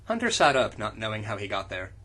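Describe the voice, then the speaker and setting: A man's voice: lowish voice, very animated, quick pace, sharp tone. A man doing a casual comic reading of fanfiction as host, breaking off into incredulous, profane banter.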